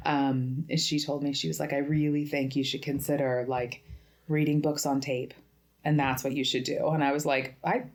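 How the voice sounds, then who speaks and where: A woman's voice: low voice, little pitch movement, moderate pace. A woman in her early forties from central Pennsylvania, chatting as a podcast guest, wry and joking as she tells stories. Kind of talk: casual chat.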